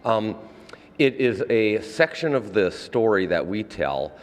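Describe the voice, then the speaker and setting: A man's voice: on the low side, big pitch swings, slow, long reverb tail. A man explaining things as a theater director in an interview, wordy and somewhat hesitant.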